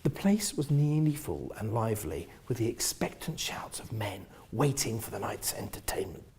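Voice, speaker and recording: A man with a husky voice reading first-person literary fiction in an expressive, theatrical manner, often hushing the ends of phrases. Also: on the low side, normal speed. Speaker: reader of a novel.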